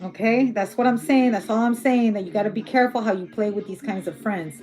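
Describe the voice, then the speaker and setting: A woman's voice: medium pitch, a little fast, mellow, faint music behind. A woman doing a casual tarot reading who is losing her voice, talking bluntly and swearing freely. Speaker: tarot reader.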